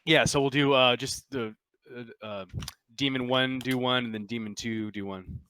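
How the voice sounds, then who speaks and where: Bright, forceful-voiced male game master. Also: mid-range voice, fairly even intonation, moderate pace, smooth. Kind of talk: explaining and narrating a tabletop game.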